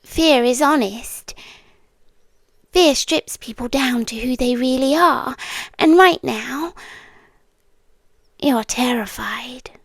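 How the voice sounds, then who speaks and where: A British woman playing a possessive demon girlfriend in an ASMR roleplay, halting and breathy, eerily tender and menacing, close on the mic. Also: high-pitched, dry recording, heavily acted. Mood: softly menacing. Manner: breathy whispering, halting, drawn-out delivery. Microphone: close, dry ASMR microphone.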